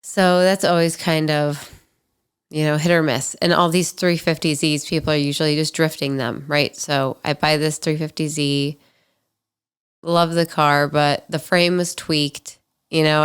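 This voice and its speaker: Female podcast host, 20s, nasal and congested, low pitch, casual candid storyteller. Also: flat delivery, normal speed, clean tone. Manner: candid, chatty, frequent ums and likes. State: nasal, stuffy from allergies.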